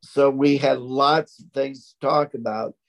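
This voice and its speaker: A middle-aged man, speaking loudly and slowly, over-articulating each word as an interview guest. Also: medium pitch, fairly even intonation. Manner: slow, deliberate, over-articulated speech; naturally loud, projecting voice. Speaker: interview guest, stroke survivor. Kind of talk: conversational interview.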